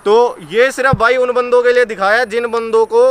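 A man's voice: very high-pitched, fairly even intonation, a little fast, fairly bright tone. A man, chatting casually to camera as a vlog host, explaining things step by step in colloquial speech. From India. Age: 20s. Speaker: host of a haunted-location exploration vlog. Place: large derelict factory and overgrown grounds at night. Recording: echoey derelict building with clear background noise.

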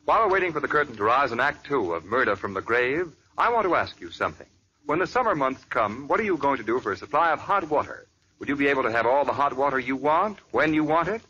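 A male radio announcer delivering a 1940s commercial in a smooth, persuasive manner, on an old muffled radio recording. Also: mid-range voice, big pitch swings, fast talker, bright, forceful tone, soft background music.

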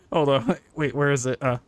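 A woman's voice: low voice, a little hiss or hum. A woman giving casual, amused, giggly commentary as a game streamer.

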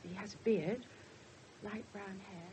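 An actress playing an English mother of a missing girl in a 1970s radio drama, distressed, defensive and halting. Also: medium pitch, fairly even intonation, dark tone, natural voice. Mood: subdued, halting. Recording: noisy 1970s radio broadcast recording.

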